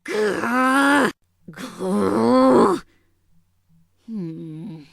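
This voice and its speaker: A woman's voice: sits high, bright, forceful tone, no room sound, studio quality, full character voice. A woman playing a petite, short-tempered teenage sorceress in a comedic anime fan audio drama, sarcastic and teasing. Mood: seething.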